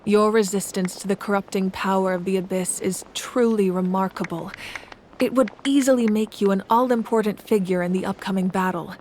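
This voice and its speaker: A woman as a calm, resolute ruler. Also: medium pitch, slight background noise, natural voice. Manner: measured, composed, thoughtful. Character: adult female ruler of a land, resolute and calm. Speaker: ruling Archon. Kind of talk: video game voice acting. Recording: game audio with faint music behind.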